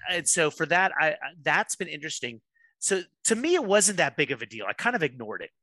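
A pastor talking openly as a podcast guest, quick to laugh at himself. His voice sits on the higher side and sounds bright and forceful. The sound is close and dry, with no room around it. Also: very animated, dry recording. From Miami, USA. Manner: candid, self-deprecating, quick to laugh. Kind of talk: casual interview conversation.

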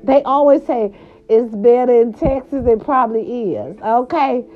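Chatty, animated grandma vlogger. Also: female voice, a little high, a little slow. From California. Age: older. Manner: animated, chatty, salty slang, lots of "right, right" fillers. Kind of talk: casual monologue to viewers. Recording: dull lo-fi sound.

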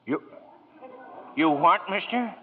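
An actor playing a skittish, suspicious bridge keeper in a 1940s radio drama, blunt and rattled. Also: male voice, high voice, animated, takes it slowly. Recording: very noisy, muffled vintage radio recording.

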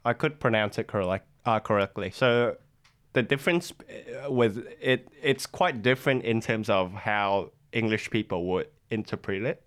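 A man's voice: lowish voice, moderate pace, fairly bright tone. A young man, a guest in a casual interview, speaking hesitantly with many fillers and restarts. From Vietnam.

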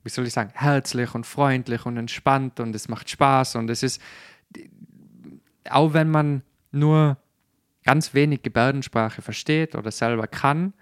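A man talks in a casual podcast style. He has a mid-pitched voice and feels his way through sentences in colloquial phrasing. The sound is dry, with very little room. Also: steady tone, unhurried, smooth, no room sound. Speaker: podcast host.

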